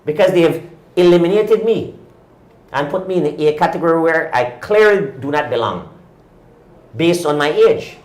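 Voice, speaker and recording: A man's voice: a little high, echoey room. A man in his fifties hosting a cycling talk show, ranting indignantly and pressing his points with repeated rhetorical questions. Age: 50s. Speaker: cycling podcast host. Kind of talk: opinionated talk-show rant.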